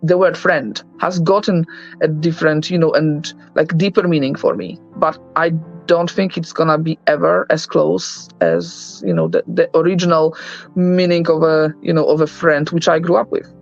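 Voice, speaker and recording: A woman speaks in a gravelly, unhurried way, pausing often and reaching for her words. Her voice comes through a dull, muffled film soundtrack, with soft music underneath. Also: deep voice. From Poland. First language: Polish. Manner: slow, thoughtful, searching for words with many fillers. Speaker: documentary interviewee. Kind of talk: reflective documentary interview.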